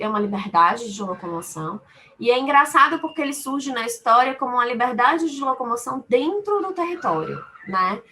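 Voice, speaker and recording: Bright, higher-voiced Brazilian woman from Salvador. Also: a little hiss or hum. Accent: Brazilian, Salvador (Bahia). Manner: didactic, explains with everyday examples and repeated phrasing. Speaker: panel speaker, law firm lawyer. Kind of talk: explanatory webinar talk, unscripted.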